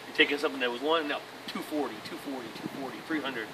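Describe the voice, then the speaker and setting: A man's voice: mid-range voice, very fast. A man touring a property, explaining in a rambling way how condo conversions work, often restarting his words. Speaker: real estate agent explaining condo conversions. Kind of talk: casual explanation on a property tour. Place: apartment complex outdoors, then a condo unit. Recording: distant voice with background noise.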